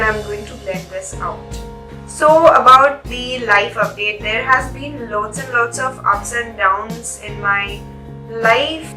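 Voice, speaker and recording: A woman's voice: fairly high pitch, bright, forceful tone. A young woman with a cold and a nasal voice, chatting to viewers while doing a makeup tutorial and apologising for her absence. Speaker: beauty vlogger. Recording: roomy echo, faint music behind.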